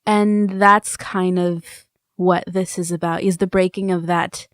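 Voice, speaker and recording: A woman's voice: fairly low pitch, flat delivery, measured pace, fairly bright tone, no echo, clean studio sound. A woman interviewing on a podcast, thinking aloud earnestly in casual, reflective discussion.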